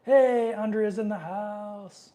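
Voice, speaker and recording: A man's voice: high voice, fairly even intonation, slow, dark tone. A middle-aged man with a cold, sniffling as he hosts a casual live question-and-answer stream, rambling loosely with many fillers. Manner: loose and rambling, many fillers, reads viewer comments aloud.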